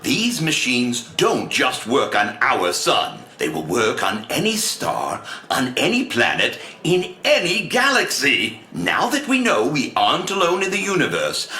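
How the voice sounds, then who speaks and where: Grandiose showman inventor, hugely animated. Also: fairly high pitch, roomy sound, exaggerated voice. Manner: grandiose, theatrical. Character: showy male inventor, grandiose, basking in applause. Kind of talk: scripted audio drama acting, stage speech.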